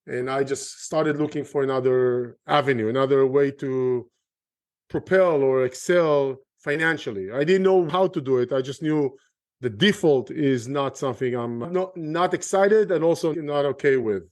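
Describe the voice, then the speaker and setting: A man's voice: medium pitch, moderate pace, darkish tone, dry recording, studio quality. A middle-aged man from Israel with an Israeli accent, talking earnestly as a guest on an interview podcast and often restarting his sentences. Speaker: guest, real estate investor.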